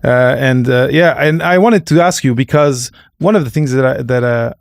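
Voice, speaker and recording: A male podcast host with a mid-pitched voice that has a noticeable creak. He talks conversationally in a halting way, circling back to rephrase. The recording is dry and close. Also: no room sound. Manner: halting, rephrases and repeats phrases.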